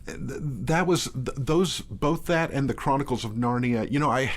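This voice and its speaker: A man talking as a guest in a casual in-depth discussion, often restarting his phrases in a halting way. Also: medium pitch. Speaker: guest, theatre artistic director and performer.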